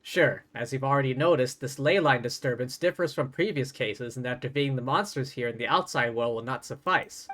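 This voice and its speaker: A man's voice: mid-range voice, a little fast, hardly any pauses, a bit bright. A man livestreaming a game, reading dialogue aloud and adding playful, wry, casual asides. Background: game music.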